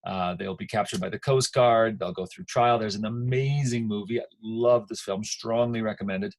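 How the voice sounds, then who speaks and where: A man's voice: on the low side, moderate pace. An American man giving an informal history lecture as a teacher, conversational and emphatic, checking in with his listeners.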